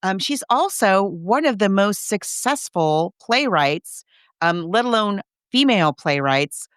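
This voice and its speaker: A woman's voice: mid-range voice, expressive, measured pace, a bit bright, smooth, no room sound, studio quality. A woman co-hosting a podcast in casual discussion, chatty and emphatic, often thinking aloud. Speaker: podcast co-host.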